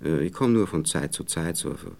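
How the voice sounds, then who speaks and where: A man's voice: extremely low pitch, expressive, fairly quick, gravelly, a little mellow, exaggerated voice. An actor playing an evasive, condescending Viennese man in a radio play, cajoling and patronising in a hesitant way, with a Viennese accent. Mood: probing, coaxing. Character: evasive, condescending married Viennese man courting a young woman. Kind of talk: naturalistic radio play dialogue.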